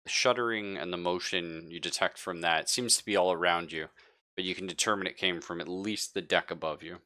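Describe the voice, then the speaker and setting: A man improvising narration as game master of a tabletop game, telling it haltingly and with suspense. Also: deep voice, fairly even intonation, pushed and bright.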